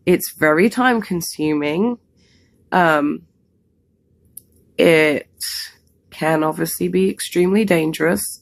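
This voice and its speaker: A London woman, hoarse from a cold, talks in a low, gravelly voice. She rambles through a casual story time with lots of fillers. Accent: London English. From England, London. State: losing her voice from a cold. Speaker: vlogger co-host.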